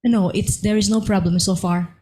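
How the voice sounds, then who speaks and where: A woman's voice: medium pitch, steady tone, normal speed, dark tone, poor audio. Woman reading a speaker introduction. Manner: reads carefully, hesitant fillers. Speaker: moderator, course host.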